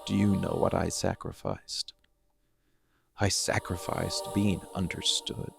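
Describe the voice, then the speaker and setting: A man playing a cold, embittered dark-fantasy villain in an ASMR monologue, speaking deliberately with heavy pauses. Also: low-pitched, big pitch swings, creaky, over music, full character voice. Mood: grave, steely.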